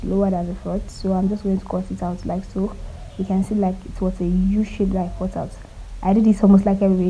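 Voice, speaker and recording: A woman with a cold walking viewers through cutting and sewing a top, speaking quietly and stopping often to check they are following. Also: a little hiss or hum, low-quality recording. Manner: quiet, low-volume delivery. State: has a cold. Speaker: sewing tutor. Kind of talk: casual step-by-step sewing tutorial.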